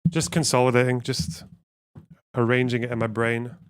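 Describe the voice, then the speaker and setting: Clear-voiced man rambling through a livestream. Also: mid-range voice, flat delivery, normal speed. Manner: thinks aloud, rambling and wry. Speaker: livestream host. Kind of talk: casual livestream commentary, reading chat.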